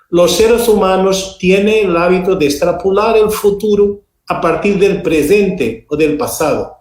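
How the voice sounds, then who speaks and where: A man whose first language is Portuguese, speaking slowly and hesitantly in Spanish and then Portuguese with a Portuguese accent, as a guest speaker on a panel. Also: high voice, darkish tone, roomy sound. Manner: slow, deliberate, hesitant. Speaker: invited speaker, school librarian expert. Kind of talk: reading a text aloud in halting Spanish, then talking in Portuguese.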